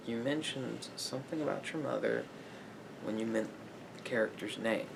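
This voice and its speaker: A man playing a calm, sympathetic therapist in an ASMR roleplay, speaking in a halting, pensive way with pauses. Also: gravelly. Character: calm, sympathetic male therapist talking to a client. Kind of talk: slow therapy roleplay ASMR, improvised and conversational. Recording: noisy recording with low rumble.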